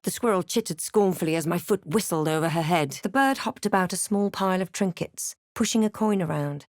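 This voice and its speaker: Snarky fantasy game heroine, lowish voice, unhurried, deliberate, composed, dry close studio sound. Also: female voice, expressive, a little mellow, no room sound. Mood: measured, musing. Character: snarky, composed adventurer heroine, adult woman spellcaster. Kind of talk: fantasy game voice lines and scripted narration.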